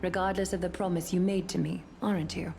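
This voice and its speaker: A woman's voice: on the low side, level tone, brisk, vocal fry, darkish tone. An actress playing a gracious, calculating female leader in a video game, with poised, formal delivery over music. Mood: calm, probing. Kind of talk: dramatic video game voice acting.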